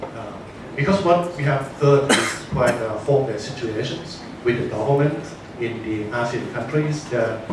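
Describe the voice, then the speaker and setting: Earnest man speaking from a far, echoing hall. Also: medium pitch. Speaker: audience member asking a question. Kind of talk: question from the floor at a panel discussion. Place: large conference room. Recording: echoey hall from far off, noisy.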